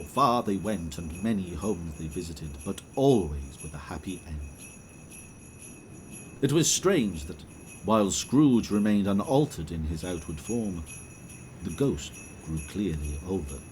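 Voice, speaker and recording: Casting: deep male narrator, expressive, soft music under. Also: unhurried, a little hiss or hum, rough recording. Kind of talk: scripted storytelling narration.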